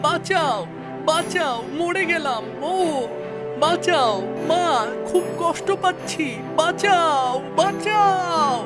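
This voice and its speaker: A woman's voice: very high voice, slow, over loud music, voice pushed up. A woman voicing an innocent, trusting little boy prince in a cartoon, childlike and plaintive. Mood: desperate pleading. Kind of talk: cartoon fairy-tale voice acting.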